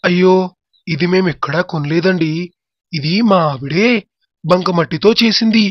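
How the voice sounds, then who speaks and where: A man's voice: high voice, normal speed, no room sound. A man playing a humble, grateful poor village husband and father in a moral story cartoon. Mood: humble, eager. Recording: dull, muffled track with music. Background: background music.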